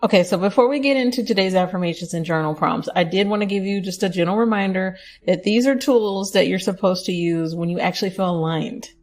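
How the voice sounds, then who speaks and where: A young adult woman hosts her own podcast, talking to listeners in a chatty, upbeat way. Her voice has a slight scratchiness from allergies. She often breaks off to correct herself in quick asides. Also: medium pitch, a little mellow. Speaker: podcast host. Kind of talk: affirmation podcast monologue.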